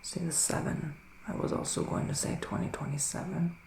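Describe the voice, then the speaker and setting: A man speaking softly and often whispering in an intimate ASMR roleplay as a gentle psychic witch, with deliberate, soothing pauses. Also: fairly high pitch, steady tone, roomy sound, a little hiss or hum. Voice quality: soft, breathy, often dropping to a whisper. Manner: slow, deliberate, pausing, soothing delivery. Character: gentle male witch giving a psychic reading. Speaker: ASMR performer playing a psychic tarot reader.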